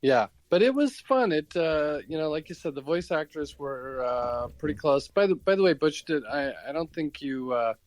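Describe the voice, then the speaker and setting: A man's voice: fairly high pitch, lively intonation, moderate pace, hardly any pauses, no echo. An older man co-hosting a podcast in casual, conversational talk. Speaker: podcast co-host. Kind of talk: casual chat.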